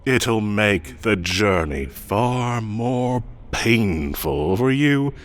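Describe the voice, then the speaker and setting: A man's voice: fairly low pitch, animated. A man playing a smug, possessive and menacing fae king in roleplay audio, theatrical and condescending as he speaks directly to the listener. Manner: theatrical, condescending, slow deliberate coaxing.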